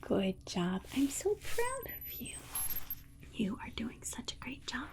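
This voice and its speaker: Woman as female alien scientist, ASMR roleplay, whispered, high-pitched, soothing, babying, repetitive reassurance. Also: huge pitch swings, slow, heavily acted. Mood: soothing, encouraging.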